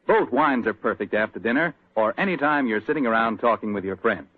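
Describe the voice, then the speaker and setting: A man with an American accent, a radio announcer pitching and narrating in a folksy, conversational 1940s style. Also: expressive, brisk, no room sound. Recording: dry, muffled 1940s radio recording.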